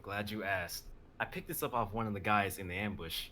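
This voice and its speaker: A man's voice: lowish voice, fairly quick, sharp tone, a little hiss or hum. A man playing a reckless, dutiful soldier in a dramatic reading of a sci-fi script. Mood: firm, confident. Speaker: reader of stage directions and a character.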